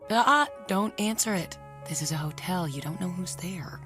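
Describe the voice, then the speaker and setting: Actress as cautious kindly mother, lower female pitch, drama, clear music behind. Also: moderate pace, close to an everyday voice. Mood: wary. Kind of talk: audio drama acting.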